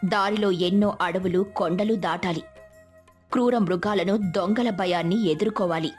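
Woman narrator, mid pitch, dramatic storytelling, faint background music. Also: moderate pace.

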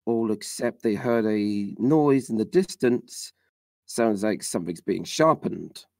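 A man co-hosting a casual podcast who recaps a story, easygoing and with a slightly rough voice. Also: lowish voice, expressive, slow pace, a little mellow, no room sound. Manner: easygoing, agreeable, murmurs "mm".

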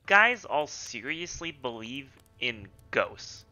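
A woman's voice: rumbling low, sing-song, slow pace, pushed and bright, full character voice. A woman playing a scornful skeptic, incredulous and dismissive, in a comic radio play.

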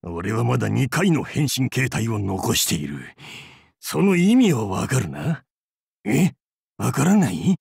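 An actor playing a boisterous, crude and goofy male pirate captain in a game, with a gravelly, husky voice and a hammy, theatrical, jokey way of talking. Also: medium pitch, big pitch swings, takes it slowly, a little mellow, no echo, studio quality, exaggerated voice. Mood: hammy battle cries. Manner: hammy, theatrical, jokey otaku-style speech. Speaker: game character voice. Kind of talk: voiced game character lines.

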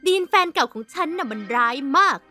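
Cartoon dub: actress as scheming teen girl, very high, fast. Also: expressive, bright, forceful tone, soft background music. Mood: persuasive. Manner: pleading, persuasive. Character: scheming popular teen girl seeking revenge.

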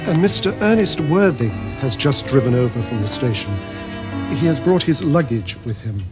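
An actor playing a deferential older butler in a period comedy, formal and slightly hesitant. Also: male voice, medium pitch, animated, moderate pace, over music, lightly acted. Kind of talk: period comedy screen acting.

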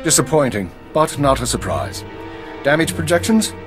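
An actor playing a grave, authoritative spymaster in a video game, clipped and formal. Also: male voice, on the high side, very animated, normal speed, over music. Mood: grave, stern.

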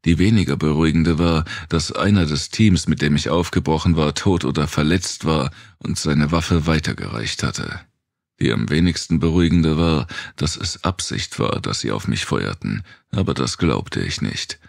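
A man narrating a dark post-apocalyptic thriller audiobook in the first person, reading from a script. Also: very deep voice, expressive, moderate pace, gravelly, no room sound, studio quality.

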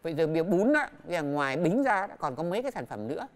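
Lively, fast-talking woman co-host. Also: sits low. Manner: emphatic, expressive, chiding. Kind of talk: TV talk show interview.

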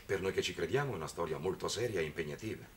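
A man's voice: low voice, expressive, racing. A man acting in a 1960s television drama as a brave, caring middle-aged priest. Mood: earnest. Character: brave, caring priest devoted to his boys. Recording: noisy old 1960s TV soundtrack.

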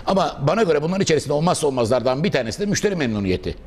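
A middle-aged man with a slightly high voice hosts a business interview in a cafe, talking very fast with a lively, swooping delivery. His speech is formal and flowery, and he often jumps in to finish his guest's sentences. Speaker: interviewer host. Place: indoor cafe restaurant.

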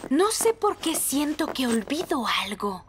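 A cheerful cartoon firefighter, a capable young woman with a Latin American Spanish accent. Her voice is very high and lively, and she takes her time over the words. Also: unhurried, a little hiss or hum. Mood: earnest, chatty. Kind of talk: animated children's show dub.

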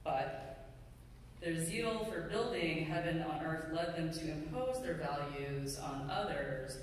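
A middle-aged pastor preaching a sermon in a measured, deliberate way with pauses. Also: mid-range voice, lively intonation. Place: church sanctuary pulpit, indoors. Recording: very echoey hall, distant mic, faint noise.

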